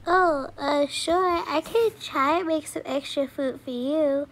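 A woman's voice: sits high, slow. A woman voicing a kindly, hesitant mother in her thirties in an amateur cartoon doll story. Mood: hesitant, polite. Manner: hesitant, polite. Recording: clearly noisy home recording.

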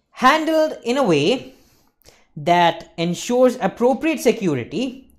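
A young man in his twenties giving an exam revision lecture as an instructor, explaining emphatically and often checking that listeners follow. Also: high voice. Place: indoor lecture studio with slides.

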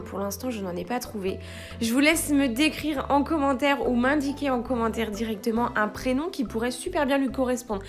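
A young woman in her twenties speaks in a slightly high, chatty voice-over for a home cleaning vlog. She praises a product with eager enthusiasm, and soft music plays quietly behind her. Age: 20s. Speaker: vlogger, young mother. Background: faint background music.

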